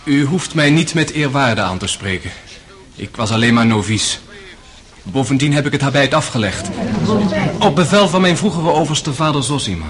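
An actor playing a gentle, honest young former novice in a radio drama, speaking plainly and politely. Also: male voice, mid-range voice, expressive, moderate pace, close to an everyday voice. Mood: earnest, calm.

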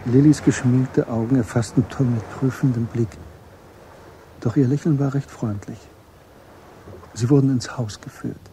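Very mellow, measured male narrator. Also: medium pitch, steady tone, slight background noise, rough recording. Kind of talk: scripted literary narration in a German radio play.

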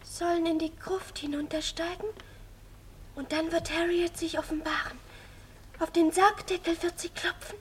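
A woman in a 1950s German radio play voicing a young girl medium, speaking in a hushed, halting, trance-like way. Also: extremely high pitch, fairly even intonation. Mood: entranced, solemn. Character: young girl medium, Betty, relaying a ghost's messages. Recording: faint noise, 1950s radio studio recording.

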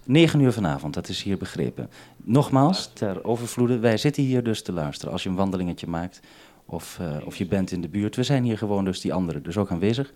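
A man's voice: sits low, animated, fairly quick, a little mellow. A man hosting an unscripted two-way radio conversation, speaking hesitantly and often interrupting himself. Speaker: radio programme maker and host.

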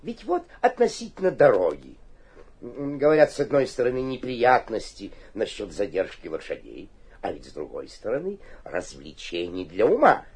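An actor playing an obsequious, cunning provincial mayor in a theatrical radio play, flattering and slyly suspicious, with a husky voice. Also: male voice, on the low side, normal speed, heavily acted. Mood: fawning. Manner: fawning flattery with muttered suspicious asides. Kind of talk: theatrical radio drama acting.

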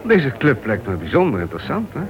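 An actor playing a reserved, wary ex-convict turned author in a Dutch radio play. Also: male voice, mid-range voice, wildly swooping pitch, fairly quick, dull audio, over music. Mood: reserved, curious.

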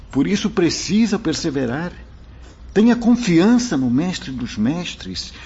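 Man as grave spiritual mentor, Brazilian, very husky voice, animated swings, preacherly, radio drama. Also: medium pitch, full character voice. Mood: consoling, solemn. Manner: preacherly, measured, emphatic. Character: kindly, solemn male spirit mentor, grave and exhorting. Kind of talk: Portuguese radio drama acting. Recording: dull lo-fi audio.

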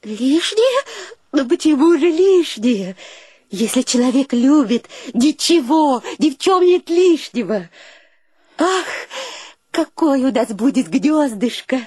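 High, slow, cooing young maiden. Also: female voice, expressive, a little mellow, no room sound, clean studio sound. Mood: dreamy, cooing. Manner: cooing, affected, exclamatory. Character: gushing, coy, marriage-hungry young maiden. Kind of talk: theatrical radio play acting.

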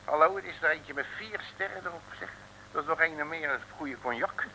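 An actor playing a brash, bluffing, jovial detective with chummy, boastful patter in a mid-century comic radio serial. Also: male voice, fairly high pitch, a little fast, pushed and bright, poor audio. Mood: rousing, bold. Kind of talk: 1950s-60s comic radio serial acting. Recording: hissy vintage radio recording.

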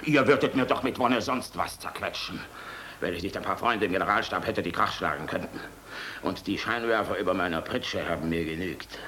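An actor playing a defiant, world-weary middle-aged general in a German radio drama, sardonic, brooding and biting. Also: male voice, low-pitched, brisk, sharp tone. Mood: defiant, scornful. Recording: clearly noisy radio drama recording.

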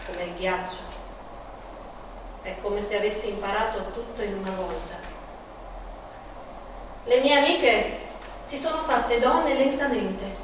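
A woman's voice: medium pitch, background noise, natural voice. An actress as an anguished young woman, echoey and distant. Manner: dramatic, deliberate, declamatory. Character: Frida Kahlo, young Mexican woman in pain, bitter and intense. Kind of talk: theatrical radio monologue with music. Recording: echoey, distant stage sound with loud music.

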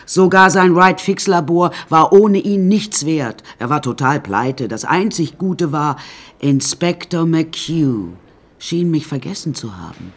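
An actress playing a sardonic, much-widowed woman who recounts her life in a flippant, deadpan radio drama manner and turns indignant when challenged. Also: low voice, takes it slowly, full character voice. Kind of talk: radio drama narration and dialogue.

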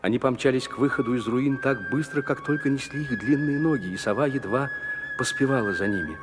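A man narrating a fairy tale in an expressive, scripted storytelling style. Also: medium pitch, fairly quick. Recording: hall reverb over music.

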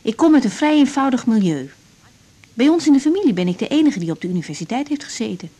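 An actress playing an idealistic young doctor in a radio drama, speaking candidly with reflective hesitations. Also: female voice, fairly high pitch, sing-song, fast, warm tone. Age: young adult. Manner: hesitant, reflective, self-searching. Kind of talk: naturalistic radio drama dialogue.

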